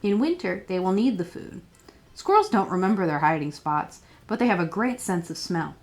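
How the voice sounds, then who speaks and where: A woman's voice: lowish voice, animated, normal speed, darkish tone. A woman reading a children's picture book aloud as a storytime librarian, expressively and with questions put to young listeners.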